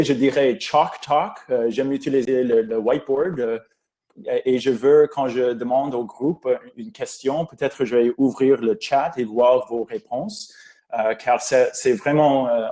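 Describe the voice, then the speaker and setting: A man presents a medical webinar, speaking in a language that is not his first, with English as his native tongue. His tone is mellow and relaxed, and he stops often to search for words, filling the gaps with hesitations. He sounds like he is on a computer mic over a video call. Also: medium pitch. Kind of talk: webinar lecture.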